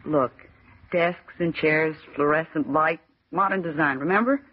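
A woman's voice: low voice, measured pace, slightly rough. An actress playing a brash, sceptical young female typist in a 1940s radio thriller, wisecracking in slangy, emphatic, exasperated lines. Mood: insistent, dismissive. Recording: dull vintage radio recording.

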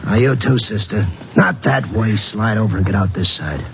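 An actor playing a rough, violent masked robber in a 1950s radio crime drama, barking slangy commands gruffly. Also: male voice, on the low side, normal speed. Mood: curt, threatening. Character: menacing masked stick-up man, rough and violent. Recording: noisy, muffled old radio broadcast.

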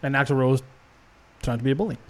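A man's voice: medium pitch, quick pace. A man hosting a hard rock radio show, chatting casually with rambling jokes and frequent laughs in a studio. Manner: rambling, joking, laughs often. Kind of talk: casual on-air banter.

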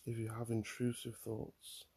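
A man guiding a mindfulness meditation in a calm, soothing manner, leaving long pauses between phrases. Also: mid-range voice, gravelly, husky. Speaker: mindfulness guide. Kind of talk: guided meditation, body scan.

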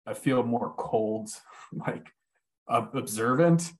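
A man's voice: medium pitch, slow. A man in a casual podcast chat, talking reflectively and searching for his words. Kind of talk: casual conversation.

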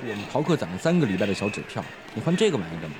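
An actor playing a brash, teasing male high school student in an audio drama, with a casual, slangy, blunt way of talking. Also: big pitch swings, very fast, background noise. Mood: brash, playful. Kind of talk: Chinese audio drama acting.

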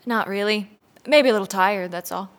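A woman's voice: mid-range voice, normal speed, natural voice. An actress playing a guarded married mother in an audio drama, curt and defensive as she is questioned. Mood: guarded, casual. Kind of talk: scripted audio drama dialogue.

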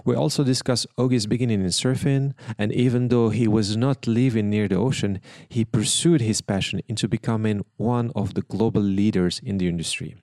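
Host guy with a gravelly lowish voice, reads the guest intro then chats, dry close sound. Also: steady tone, brisk, hardly any pauses, darkish tone, no room sound. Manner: reads a formal guest introduction, then asks rambling questions. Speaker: podcast host. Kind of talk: scripted intro then casual interview.

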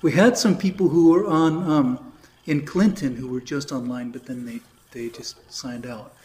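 A man, a priest recovering from illness, teaching a catechism class in a conversational way, his voice slightly raspy and a little weak. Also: medium pitch, normal speed, a little mellow. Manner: conversational, with many fillers and restarts. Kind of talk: informal religious teaching. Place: church classroom. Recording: echoey room.

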